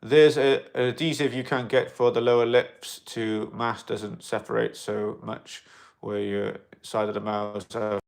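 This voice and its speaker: A man in an alien costume, chatting casually on a livestream and answering viewer comments in a rambling, excitable way. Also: fairly low pitch, unhurried, vocal fry. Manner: rambling and excitable, with many fillers and restarts; loud bursts of excitement between quieter asides.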